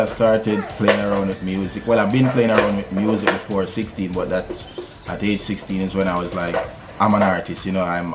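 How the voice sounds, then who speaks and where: A Jamaican man, a musician, telling his story in a relaxed interview, with many fillers and easy laughs. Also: sits low. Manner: laid-back, many fillers, laughs easily. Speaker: musician guest.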